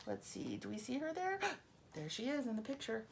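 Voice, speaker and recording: A woman's voice: a little high, moderate pace, gravelly, noisy background. A woman reads a children's picture book expressively, breaking off for chatty asides to her young listeners. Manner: animated storyteller, chatty asides addressed to children.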